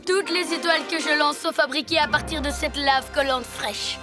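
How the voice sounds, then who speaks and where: Very high child voice, acted by a woman, boastful, theatrical, cartoon dub, loud music underneath. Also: fairly even intonation, normal speed, lightly acted. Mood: gloating. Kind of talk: French cartoon dub acting. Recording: music behind the voice.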